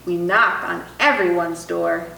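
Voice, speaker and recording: A woman reading a children's picture book aloud in an expressive, animated storytelling style. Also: on the low side, a little slow, gravelly. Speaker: storytime reader. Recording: roomy echo, distant mic, faint noise.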